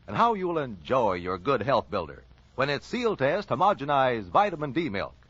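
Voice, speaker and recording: A man's voice: medium pitch, big pitch swings, normal speed, fairly bright tone, slightly rough. A man with an American accent announcing and reading sponsor ads in 1940s radio style. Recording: dull lo-fi 1940s radio recording.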